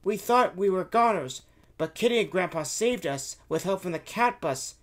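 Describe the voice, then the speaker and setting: A man voicing an eager young boy excitedly recounting an adventure in a raised voice. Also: sits high, normal speed, pitched above the performer's own voice. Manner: excited, breathless storytelling.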